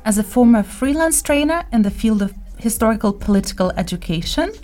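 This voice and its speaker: Middle-aged woman, Ukrainian native, higher voice. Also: lively intonation, brisk, a little mellow, faint music behind. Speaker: managing director of a research center. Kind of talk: scripted radio introduction.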